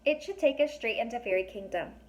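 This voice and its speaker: A woman reading the lines of a sarcastic, worrying young boy in a storybook, with a deadpan grumble. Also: fairly high pitch, fast, highs cut off, natural voice.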